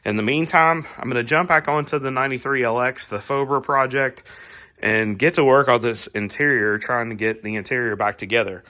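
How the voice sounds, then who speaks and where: A man hosting a casual garage how-to vlog while working on a car, his voice a little raspy as he gets over a cold, talking in a laid-back, rambling way with plenty of fillers. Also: medium pitch, fairly even intonation, a little fast, bright, forceful tone. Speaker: garage channel host.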